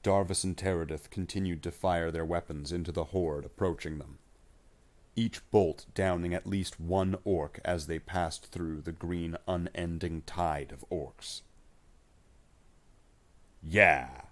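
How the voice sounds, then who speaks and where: A male storyteller reads dramatic fiction in a very deep voice. His delivery is slow and measured, with deliberate phrasing throughout. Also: steady tone, a little mellow. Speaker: narrator. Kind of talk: scripted dramatic story narration.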